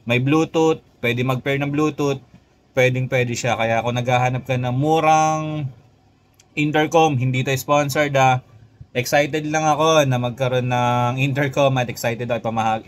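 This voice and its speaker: A man's voice: mid-range voice, slight background noise. A Filipino man giving a casual, conversational product review as a motovlogger, speaking directly to his viewers. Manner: conversational, addresses viewers, often tags phrases with "no?".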